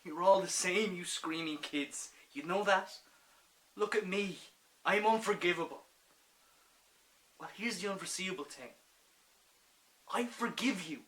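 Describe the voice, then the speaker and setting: A young man playing an anguished, forgiving war-veteran doctor in an impassioned dramatic monologue that swings from quiet to loud. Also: high-pitched, unhurried, long pauses, rough recording. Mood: bitter, forgiving.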